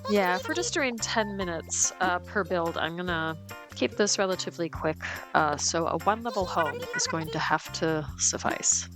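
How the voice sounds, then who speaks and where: A woman's voice: on the low side, animated, normal speed, over music. A woman casually commenting on her gameplay as host, easygoing and chatty with plenty of ums, a little sniffly as she gets over a cold. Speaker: let's play host.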